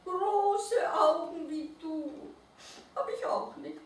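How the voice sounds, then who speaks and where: A woman's voice: very high voice, slow, voice pushed up. A woman voicing a small, sad male naked mole rat who whines and sobs about being ugly. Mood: self-pitying. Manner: whiny and sobbing. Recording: roomy reverb, distant mic, faint noise.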